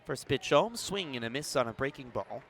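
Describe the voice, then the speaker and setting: A man doing live baseball play-by-play as a radio announcer, narrating in a measured way with punchy calls. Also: mid-range voice, animated, a bit bright, slightly rough. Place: outdoors at a ballpark.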